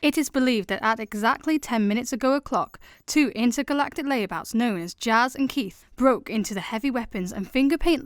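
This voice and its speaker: An actress playing a deadpan, formal live news reporter in a comedy sci-fi radio play. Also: female voice, fairly high pitch, no echo, clean studio sound, lightly acted. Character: deadpan female space newspaper reporter on live broadcast.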